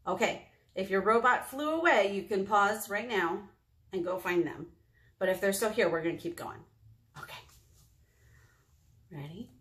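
An American woman in her thirties hosting a children's storytime, expressive and talking directly to small children in a room. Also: mid-range voice, moderate pace, long pauses. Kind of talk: children's storytime read-aloud with singing. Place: small indoor meeting room. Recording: roomy indoor echo.

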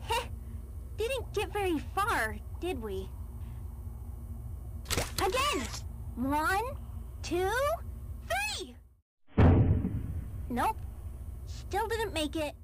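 A woman's voice: very high-pitched, wildly swooping pitch, very slow, long pauses, background noise, heavily acted. A woman voicing a hyper, relentless cartoon rabbit with a pushy, manic temper. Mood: determined. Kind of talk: cartoon character voice lines.

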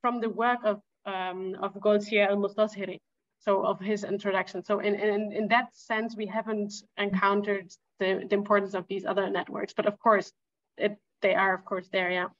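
A woman's voice: mid-range voice, flat delivery, moderate pace. A woman who is a native German speaker, discussing her research informally in an online academic talk. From Germany or German-speaking Europe. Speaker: academic researcher, lecture presenter. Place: indoor room on video call. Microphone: video call. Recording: slightly dull video-call audio.

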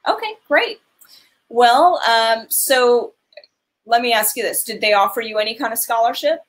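A woman's voice: fairly high pitch, animated, normal speed. Earnest woman giving advice on a call. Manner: earnest, direct advising. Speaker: financial aid advisor. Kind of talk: webinar Q&A advice. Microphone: video call.

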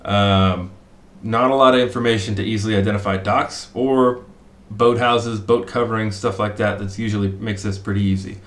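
A man's voice: on the low side, fairly even intonation, normal speed, fairly bright tone, reverberant. A middle-aged man walking viewers through a screen share from a desk in a small room, thinking aloud with lots of "um" and "uh". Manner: explanatory, thinking aloud with fillers. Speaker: fishing spot analyst.

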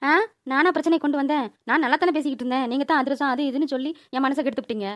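A woman's voice: sits high, fairly even intonation, racing, no echo, natural voice. A woman voicing a whiny, dramatic, sweet-greedy grown daughter in a cartoon. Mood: sulky, accusing. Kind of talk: Tamil cartoon voice-over acting.

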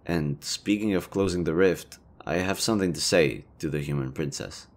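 A young man playing a playful, self-assured elven leader in a romantic audio roleplay, casual, teasing and expressive. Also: sits low, moderate pace, close to an everyday voice. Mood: proud, declaring. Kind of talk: intimate romantic roleplay acting, conversational.

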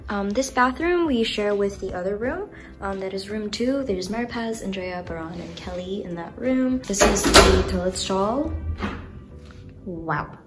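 A woman's voice: medium pitch, lively intonation, a little slow, loud music behind the voice. Bubbly young woman vlogger, casual and chatty. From Indonesia. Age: young adult. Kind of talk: casual room-tour vlog chat. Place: small furnished dorm rooms and bathroom.

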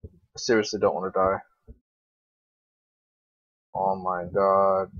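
A young man with a fairly low voice talks casually over his gameplay. He is informal and grumbling, with plenty of swearing. The sound is dry and close, with a dull, lo-fi microphone. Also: level tone, takes it slowly, a bit bright, no echo. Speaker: gaming video creator.

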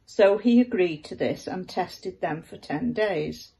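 An older woman, around her seventies, reading aloud from the Bible in a measured manner at home. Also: fairly low pitch, creaky, warm tone, poor audio. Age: older, about 70s. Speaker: scripture reader. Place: living room at home.

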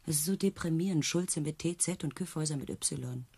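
An actress playing a mocking, discontented circus trick rider in a radio play, with a dry sardonic manner. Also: female voice, deep voice, fairly quick, mellow, slightly rough. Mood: scornful, dry.

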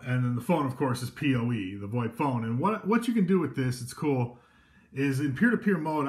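A man giving a casual, loose product demonstration indoors, talking from behind a handheld camera with many fillers and restarts. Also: mid-range voice. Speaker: equipment demonstrator. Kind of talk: informal product demo. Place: indoors at a table of devices.

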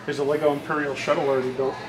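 A man's voice: medium pitch, level tone, rapid-fire, background noise. A man bantering with a friend and teasing him with crude jokes in a toy store. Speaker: friend of the host. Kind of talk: casual banter. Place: large toy store, indoors.

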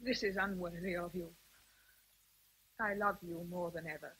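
A woman's voice: fairly low pitch, fairly even intonation, moderate pace, fairly bright tone, a little hiss or hum, lightly acted, poor audio. An actress playing a sorrowful, principled young Englishwoman in a radio drama, restrained, grave and firm. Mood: sorrowful resolve.

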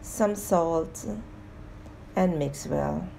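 Woman with a low, mellow voice walking through a recipe. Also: very slow, vocal fry, a little hiss or hum, rough recording. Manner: plain, steady instructional tone addressing viewers as guys. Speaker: home cooking show host. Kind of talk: step-by-step recipe narration.

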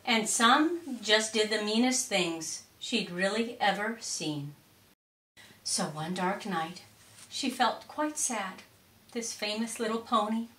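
A middle-aged woman reading a children's storybook expressively, with deliberate, theatrical emphasis in a roomy space. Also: medium pitch, lively intonation, a bit bright. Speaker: storytime reader. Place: indoors, curtained room or small stage. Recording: roomy echo on voice.

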